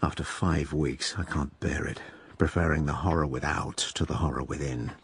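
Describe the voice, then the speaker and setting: A man's voice: rumbling low, steady tone, normal speed. A man playing a wry, musing writer who narrates plague years with ruminative asides, in a recording with background noise. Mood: musing, confiding. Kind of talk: dramatised first-person narration.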